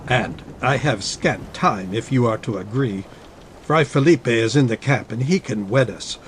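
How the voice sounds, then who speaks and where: A man playing a scheming army captain in a dramatic audiobook, speaking formally with smooth menace. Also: medium pitch, unhurried, a little hiss or hum, natural voice. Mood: smooth, menacing. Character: scheming, coercive male army captain, a suave villain. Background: ambience under the voice.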